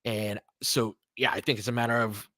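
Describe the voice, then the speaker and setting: A man calls basketball in a casual, conversational way, mixing analysis with short, punchy play-by-play. His voice has a medium pitch and his wording is slangy. The sound is dry, with very little room in it. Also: little pitch movement, normal speed, sharp tone, dry recording.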